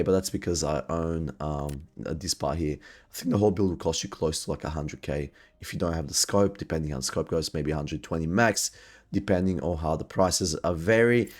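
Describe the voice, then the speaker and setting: Low voiced gaming guy, sick and losing his voice, hyped about his picks, lots of ums. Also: darkish tone. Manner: upbeat, hyped recommendations with frequent ums. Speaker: gaming video host. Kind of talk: gaming gear guide commentary.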